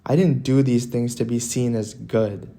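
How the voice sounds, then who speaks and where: Slow, mellow man delivering earnest monologue. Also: mid-range voice, fairly even intonation. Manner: earnest, deliberate, with dramatic pauses. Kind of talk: scripted motivational monologue narration.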